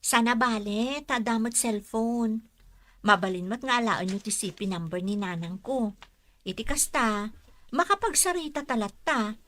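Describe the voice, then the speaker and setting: A friendly teenage schoolgirl in a radio drama. The voice is fairly high and has a Filipino accent. She speaks in the open, youthful way of a student among friends. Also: unhurried, a bit bright. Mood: hopeful.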